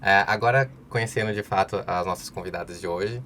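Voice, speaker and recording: A man's voice: low-pitched, a little fast, fairly bright tone, slight background noise. A man hosting a podcast, opening the episode and introducing guests in a friendly, slightly hesitant way. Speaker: podcast host.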